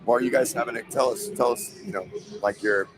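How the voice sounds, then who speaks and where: A man hosting a podcast in a casual, easygoing way, his raspy voice hoarse and nearly gone. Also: medium pitch, steady tone, a little fast, over music. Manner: easygoing, chatty. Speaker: podcast host. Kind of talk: casual interview chat. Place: noisy event venue. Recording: noisy live venue recording.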